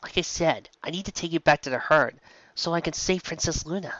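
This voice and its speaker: A man's voice: on the high side, a little fast, a bit bright, no echo, dull audio, voice pushed up, natural voice. A man voicing a young female pegasus pony, casual, blunt and stubborn, in informal everyday phrasing. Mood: reluctant.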